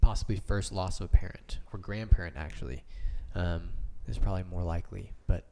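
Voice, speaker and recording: A man in his late twenties talks in a low, mellow voice. He explains his points casually, as a panelist in a discussion would. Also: fairly quick, gravelly, a little hiss or hum. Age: late 20s.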